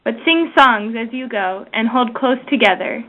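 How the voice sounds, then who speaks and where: A woman with a fairly high voice reads a prayer aloud. She is solemn and measured, heard through a narrow, tinny telephone connection. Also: lively intonation. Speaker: prayer reader. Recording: tinny phone-line conference call.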